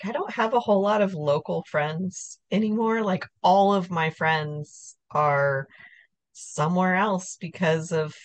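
A woman hosts a podcast in a casual, chatty way, full of small fillers. Her voice is notably low for a woman. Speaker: podcast host. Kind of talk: casual chat.

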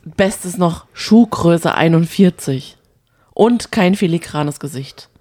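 A woman's voice: fairly low pitch, lively intonation, slow pace, dark tone. A woman co-hosting a reality TV recap show, chatting casually and retelling episodes.

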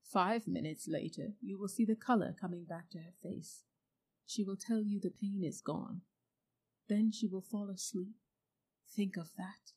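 A woman reading a storybook aloud and voicing a proud, cruel witch who speaks loudly and coaxes the listener. Her voice is dark and husky. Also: medium pitch, fairly even intonation, unhurried, low-quality recording. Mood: tempting. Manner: loud, commanding and coaxing.

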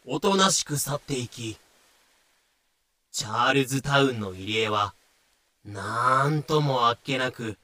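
A man's voice: mid-range voice, sharp tone. A man playing the narrator of a pirate tale, announcing scenes in scripted narration.

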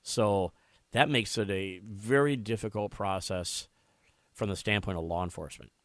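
A man's voice: lowish voice, normal speed, fairly bright tone, dry recording. An American man hosting a live talk radio show, discussing issues and interviewing guests. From United States.

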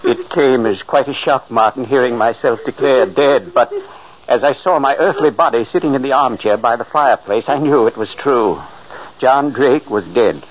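A man's voice: medium pitch, close to an everyday voice. Man acting a solemn ghost in old radio. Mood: solemn, earnest. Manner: theatrical, deliberate narration. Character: ghost of an old brother, earnest, urgent, solemn. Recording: muffled, noisy old radio recording.

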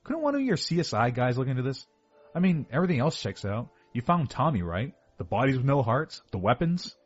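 A man playing a heavyset, obsessive young killer confessing defensively to detectives in a horror audio drama monologue, with a husky voice. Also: mid-range voice, big pitch swings, a little fast. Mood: defensive, wry. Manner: confessional, defensive, self-justifying. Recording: dull lo-fi sound with music behind.

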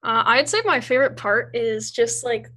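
A teenage girl from Peru as a guest in a casual podcast chat, speaking relaxed with fillers. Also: fairly high pitch, normal speed. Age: teens.

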